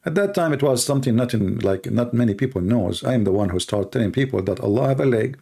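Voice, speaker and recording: A man from the Middle East, a native Arabic speaker with an Arabic accent, hosting an informal live call-in show in a sarcastic, mocking manner with halting phrasing. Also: medium pitch, lively intonation, gravelly, warm tone, breathy edge. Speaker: host, religious apologist. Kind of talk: live call-in broadcast, rambling informal commentary.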